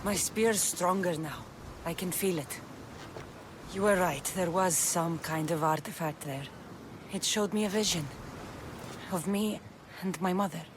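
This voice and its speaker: A woman's voice: fairly low pitch, unhurried, pauses often, noisy background, natural voice. A woman playing a determined, defiant mercenary heroine in a video game, resolute and intense. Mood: urgent, resolute.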